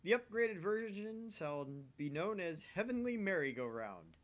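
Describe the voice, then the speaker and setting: A man's voice: sing-song, measured pace. A man voicing a grandiose, bossy young self-styled mad scientist with theatrical, pompous declarations for a visual novel. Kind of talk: visual novel character acting. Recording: faint rumbly background noise.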